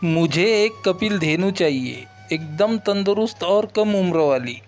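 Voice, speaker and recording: A man's voice: sits high, lively intonation, measured pace. A man playing a trusting, fretful middle-aged village father in a cartoon story, exclaiming and thinking aloud. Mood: polite, eager. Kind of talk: Hindi cartoon moral-story acting. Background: background music.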